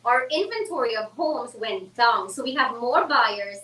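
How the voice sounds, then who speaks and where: A woman's voice: fairly high pitch, expressive, measured pace, pushed and bright, recorded from a distance. A woman realtor, a guest on a talk show, chatting casually and animatedly, laughing often. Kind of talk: casual chat. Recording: roomy sound from off mic.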